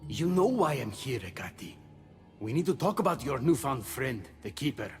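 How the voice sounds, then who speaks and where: An actor voicing a quick-witted, loyal messenger god in a mythic video game. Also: male voice, mid-range voice, sing-song. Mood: wary. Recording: long reverb, faint music behind.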